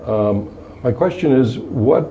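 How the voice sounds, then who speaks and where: A man's voice: mid-range voice, animated, takes it slowly, vocal fry, dark tone, lo-fi sound, slight background noise. A man interviewing a guest, asking long questions he rephrases as he goes.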